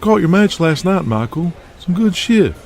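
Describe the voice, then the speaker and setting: A man's voice: high-pitched, sing-song, normal speed, gravelly, a little mellow, slight background noise, pitched above the performer's own voice, full character voice. A man playing an easygoing, matter-of-fact old hand of the wrestling business in an audio drama. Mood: approving.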